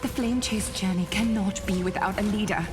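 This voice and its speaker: A woman's voice: mid-range voice, fairly even intonation, normal speed, close to an everyday voice. A woman playing an earnest follower in a video game, speaking formally and pleadingly to a ruler. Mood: concerned, challenging. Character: earnest female follower questioning her ruler. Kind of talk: dramatic game dialogue. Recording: music clearly behind.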